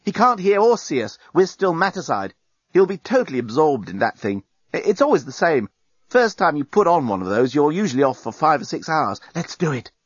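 A man's voice: a little high, sing-song, a little fast, husky, dry recording, muffled recording, pitched above the performer's own voice. A man voicing a brash, impatient male alien journalist, urgent and insistent, in a science fiction audiobook. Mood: eager, pushy.

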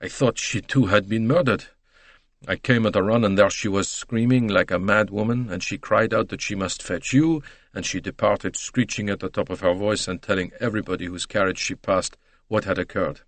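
A man voicing a harassed, excitable French-speaking train conductor in an audiobook, deferential and agitated. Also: lowish voice, normal speed, dry recording, lightly acted. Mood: relieved, agitated.

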